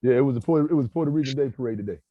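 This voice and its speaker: A man's voice: mid-range voice, very fast, no room sound. A man chatting casually on a video call. He uses street slang and laughs easily. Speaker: table read cast member. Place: home in Brooklyn. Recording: dry, slightly dull call audio.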